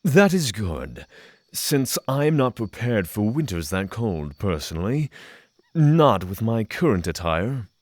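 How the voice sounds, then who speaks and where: A man's voice: lowish voice, big pitch swings, darkish tone, no echo. A man playing an earnest, cautious, reflective spiritual pilgrim in a fantasy audio drama, formal and hesitant. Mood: reserved, earnest. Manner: formal, hesitant, thoughtful pauses.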